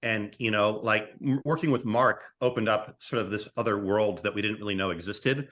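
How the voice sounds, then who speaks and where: A man speaking as a podcast guest, telling a story casually and haltingly, thinking aloud in short phrases. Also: on the low side, steady tone, a bit bright. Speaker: podcast guest, screenwriter and co-creator of an audio drama.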